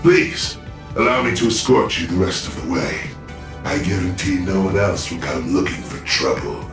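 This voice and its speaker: Flashy smooth-talking company cop for a video game, theatrical, bit distant, music behind. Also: male voice, low-pitched, big pitch swings, normal speed, echoey room. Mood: breezy. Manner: theatrical, glib, swaggering. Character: flamboyant, self-mocking male corporate security officer posing as hero.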